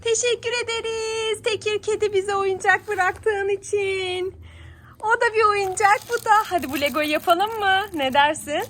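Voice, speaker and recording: Very high-pitched woman, coaxing and exclamatory. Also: fairly even intonation, measured pace, fairly bright tone. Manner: exclamatory, coaxing, asking many questions. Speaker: mother hosting a children's play vlog. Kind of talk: playful vlog narration to children and viewers. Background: music.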